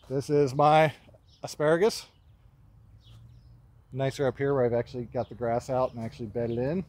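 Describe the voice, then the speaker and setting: A man in his thirties walking a vegetable field outdoors and talking casually into a phone camera held at arm's length, offhand and relaxed as he points things out. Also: medium pitch. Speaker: market gardener giving a farm tour. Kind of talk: casual walking tour talk.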